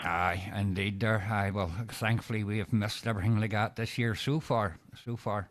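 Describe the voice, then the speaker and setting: A man's voice: lowish voice, fairly even intonation, moderate pace. A middle-aged man with a Northern Irish accent, chatting casually and matily as a radio operator. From Northern Ireland. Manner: matey, colloquial. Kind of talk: casual radio contact chat.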